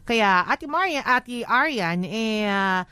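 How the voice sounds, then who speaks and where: A woman's voice: on the high side, expressive, takes it slowly, bright, forceful tone, smooth, no room sound. A woman with a Filipino accent co-hosting an advice show, talking conversationally in a frank, emphatic way and slipping into English. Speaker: radio advice show co-host.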